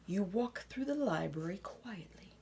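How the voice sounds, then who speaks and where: A woman reading a children's picture book aloud expressively, with dramatic pauses and hushed asides. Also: mid-range voice, lively intonation, slight background noise.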